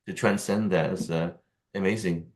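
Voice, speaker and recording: A man's voice: low-pitched, fairly even intonation, normal speed. A man hosting an interview, supportive and stumbling over words as he responds. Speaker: interview host. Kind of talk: casual interview chat.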